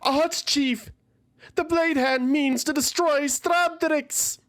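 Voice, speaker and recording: A man's voice: extremely high pitch, steady tone, slow pace, fairly bright tone, pitched above the performer's own voice, full character voice. A man voicing a loyal young female warrior in a fantasy audio story, urgent and pleading. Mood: alarmed yelling.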